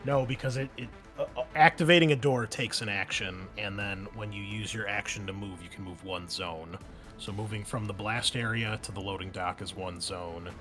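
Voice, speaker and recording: A man acting as game master, talking through rules and narrating casually, often halting as he thinks aloud. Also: lowish voice, sharp tone. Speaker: game master of an online tabletop game. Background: music.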